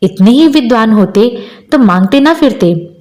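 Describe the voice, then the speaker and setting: Woman as moody village husband, high-pitched. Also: animated, moderate pace, mellow, lightly acted. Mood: despairing, bitter.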